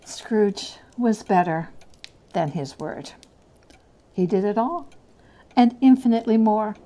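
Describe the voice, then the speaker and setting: A woman reading a story aloud as a dramatic narration, expressive and deliberate. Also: medium pitch. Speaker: story reader.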